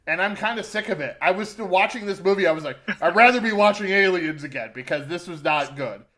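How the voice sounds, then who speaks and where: Male podcast co-host, fairly high voice, casual and opinionated reviewer. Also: fast talker, pushed and bright. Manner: conversational, informal, opinionated. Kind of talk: casual film review chat.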